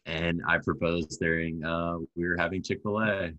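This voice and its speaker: A man's voice: deep voice, steady tone, moderate pace, sharp tone, dry recording, muffled recording. A man in his late twenties chatting casually as a podcast guest, laid-back, laughing often and swearing. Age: 20s. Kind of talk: casual chat.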